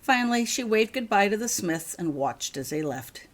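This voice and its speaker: A woman's voice: medium pitch, very animated, normal speed. A woman reading scripted audiobook narration of a story as its author. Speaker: author reading their own fan fiction.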